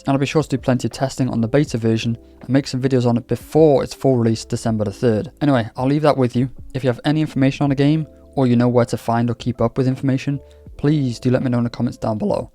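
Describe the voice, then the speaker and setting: Mellow-voiced man chatting about games. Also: mid-range voice. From Wales. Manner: chatty, candid, lightly scripted. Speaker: gaming YouTuber. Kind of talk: casual game commentary vlog. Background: faint background music.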